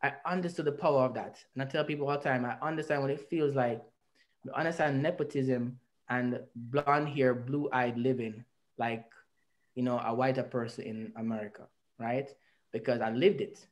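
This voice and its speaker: A man's voice: mid-range voice, fairly even intonation, normal speed. Earnest Jamaican man on a video call. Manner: earnest, reflective, speaks at length with rising emphasis. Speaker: artist and interview guest. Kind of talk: personal storytelling in an interview. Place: indoor home room. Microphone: video call webcam.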